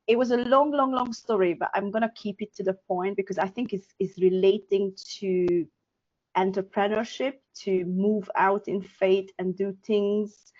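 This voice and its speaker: A woman's voice: mid-range voice, normal speed. A woman from Hungary, a founder and guest, telling her story in an earnest, reflective way with many fillers and restarts, over a video call. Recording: muffled video call audio.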